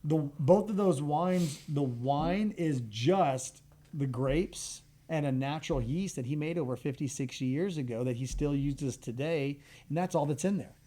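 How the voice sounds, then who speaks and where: A man's voice: a little high, unhurried. A man from Texas, a guest on a community radio show, chatting casually and acting out quoted dialogue as he tells stories, with frequent restarts. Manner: animated storyteller who acts out quoted dialogue, stumbles and restarts. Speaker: wine bar owner, guest. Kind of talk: casual radio interview chat. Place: radio studio.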